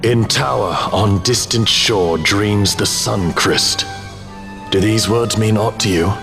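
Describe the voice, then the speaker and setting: A brooding speaker of riddles, gravelly and slow. He sounds solemn and cryptic in this fantasy game scene, with music clearly behind him. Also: on the low side. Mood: ominous. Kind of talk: dramatic video game voice acting. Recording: music clearly under the dialogue.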